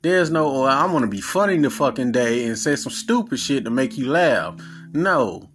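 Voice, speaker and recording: A man's voice: medium pitch, lively intonation, normal speed, a bit bright. A man in his forties with a Southern accent, a podcast host talking in a blunt, profane, earnest monologue. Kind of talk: casual monologue.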